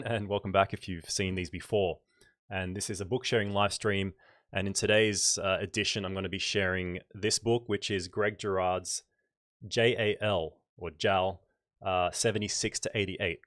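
A man with a fairly low voice, a little nasal and stuffed up from a cold. He chats in an unhurried, explanatory way as a livestream host. The sound is dry and close, from a microphone in a small home room. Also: fairly bright tone, no room sound. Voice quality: slightly nasal, congested. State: congested from a cold, scratchy throat. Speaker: photography channel host. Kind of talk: casual livestream book review. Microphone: large microphone close on a boom arm.

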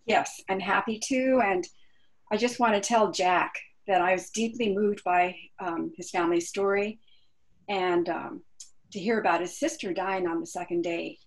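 A woman's voice: lowish voice, steady tone, moderate pace, low-quality recording. A woman guest telling her family history from her kitchen on a video call, speaking earnestly with frequent ums. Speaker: panel guest. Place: home kitchen, indoors.

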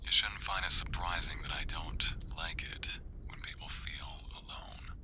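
A man's voice: a bass voice, unhurried. A man playing a friendly, caring matchmaker in an ASMR roleplay, coaxing in a hesitant, conversational way. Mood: sly, persuasive.

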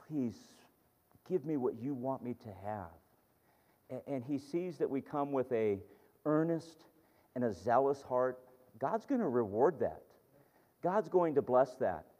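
Guy preaching in a big echoey church, kinda dull muffled sound, earnest and chatty. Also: mid-range voice. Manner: conversational, earnest, with many restarts and fillers. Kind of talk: sermon.

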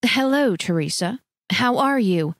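A gentle, composed mother, played by a female storyteller. She speaks quietly and politely, never raising her voice, in a dry, close recording. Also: medium pitch, animated, measured pace, dry recording.

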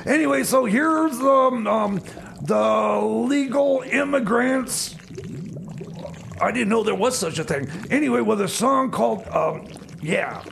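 Man playing a high, creaky stammering radio DJ. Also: a bit bright, heavily acted. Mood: grudging. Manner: halting, stammering, constantly repeating words. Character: stammering, sarcastic, insecure male radio DJ. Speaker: radio music show host. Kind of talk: comedy radio theater banter.

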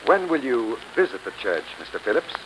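An actor playing an earnest, polite, measured architect in 1930s radio style. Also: male voice, mid-range voice, sing-song, natural voice. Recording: slightly noisy 1930s radio recording.

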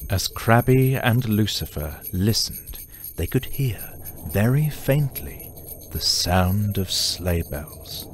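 A man playing the storyteller of a festive comic audio drama, narrating in a measured storybook way. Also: fairly low pitch, expressive, faint music behind. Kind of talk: scripted narration.

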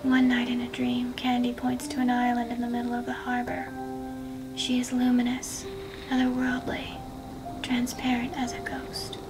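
A young woman giving an intimate literary reading in a hushed, breathy, whispery and deliberate voice. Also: a little high, completely flat delivery, recorded from a distance. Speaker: reader of a book excerpt. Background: music.

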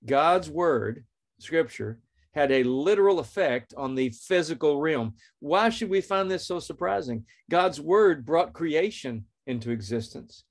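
An earnest middle-aged man hosts a faith podcast from a small indoor room, speaking straight to camera. His voice sits at an ordinary pitch, and he tells his story plainly, stopping now and then to read scripture. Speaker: podcast host.

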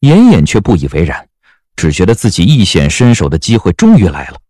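A man's voice: sits low, wildly swooping pitch, fairly quick, warm tone, dry recording, clean studio sound. A man narrating a period audio drama as a storyteller, reading scripted prose dramatically.

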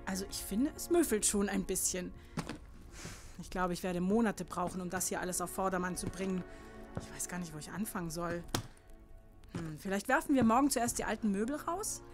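An actress playing an anxious, affectionate middle-aged mother in an audio drama, expressive and quick to exclaim. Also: mid-range voice, faint music behind, close to an everyday voice. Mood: weary, dismayed. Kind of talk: acted horror audio drama dialogue.

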